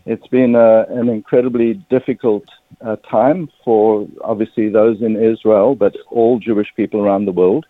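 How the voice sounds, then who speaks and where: A South African man in his fifties talks in an earnest, measured way with a hint of emotion, his voice pitched low. He comes through a thin, tinny phone line. The sound is close and dry, with no room around it. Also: little pitch movement, dry recording. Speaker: guest, ministry director. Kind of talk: radio interview.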